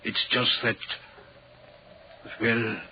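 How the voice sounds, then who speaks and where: A man's voice: medium pitch, flat delivery, extremely slow. A haunted signalman, old noisy radio. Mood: subdued, reserved. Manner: grave, hesitant, brooding. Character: lonely, troubled railway signalman, haunted and secretive. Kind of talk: 1940s radio drama acting. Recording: noisy, dull 1940s radio recording.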